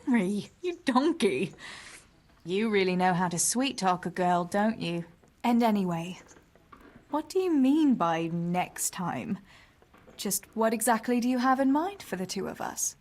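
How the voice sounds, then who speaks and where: A woman's voice: mid-range voice, lively intonation, measured pace, a little mellow. An actress playing a spirited young medieval village woman who teases and banters affectionately in a video game. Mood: teasing. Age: young adult. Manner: teasing, bantering. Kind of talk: naturalistic video game dialogue acting.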